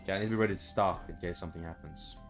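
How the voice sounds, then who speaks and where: A man's voice: deep voice, fairly even intonation, racing, gravelly, loud music behind the voice. A young man doing casual horror game commentary, muttering to himself in short phrases. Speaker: gamer, let's play host. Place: small room at home.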